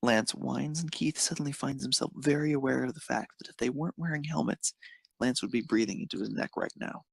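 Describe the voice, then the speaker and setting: A man's voice: mid-range voice, brisk, gravelly, slightly rough, dry recording. A man narrating a piece of fan fiction, reading it aloud.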